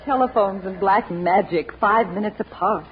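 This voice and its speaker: A woman's voice: mid-range voice, normal speed, a little hiss or hum, natural voice. An actress in 1940s radio style playing a troubled mother, earnest and anxious after a supernatural fright. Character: troubled, dazed mother shaken by a supernatural encounter. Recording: dull old radio recording, loud music.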